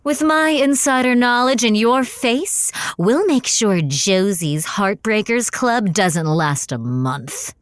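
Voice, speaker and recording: A woman's voice: a little high, animated, slow, hardly any pauses, no room sound. A woman playing a scheming, sardonic young mother with a melodramatic streak in a comedy audio drama. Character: scheming gold-digging young mother, brash and sly.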